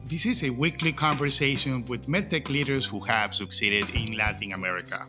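A man's voice: mid-range voice, normal speed, over music. A man reading a scripted podcast introduction and a formal guest biography. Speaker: podcast announcer.

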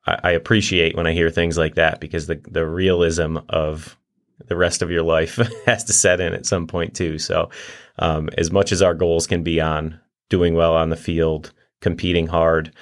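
A middle-aged man, a college soccer coach, answering interview questions in a campus studio, measured and steady with many fillers. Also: deep voice.

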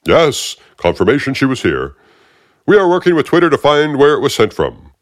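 A man voicing a smug, bombastic federal agent in an aggravating, high, drawn-out voice. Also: sing-song, no room sound, clean studio sound, close to an everyday voice.

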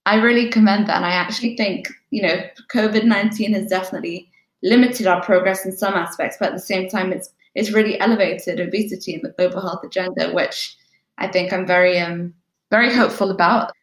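A woman's voice: medium pitch, fairly even intonation, fairly quick. A woman from Turkey hosting an interview, asking questions in an encouraging manner. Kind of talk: podcast interview. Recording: roomy echo.

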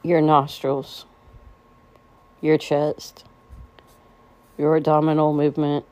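Low, mellow woman guiding a meditation. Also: monotone. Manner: gentle, soothing, unhurried phrasing with pauses. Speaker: meditation guide. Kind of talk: guided meditation.

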